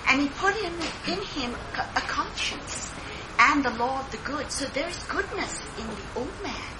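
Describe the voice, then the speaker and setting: A woman's voice: high-pitched, animated, normal speed. A woman giving an earnest sharing at a church meeting, addressing her listeners as saints. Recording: noisy recording.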